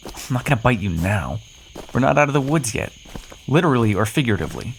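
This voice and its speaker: Man acting as a cocky, flirtatious, lively-voiced vampire. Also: mid-range voice, fast talker, vocal fry, slight background noise, poor audio. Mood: smug, teasing. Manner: teasing, sarcastic, with pet names and swearing. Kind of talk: ASMR roleplay acting addressed to the listener.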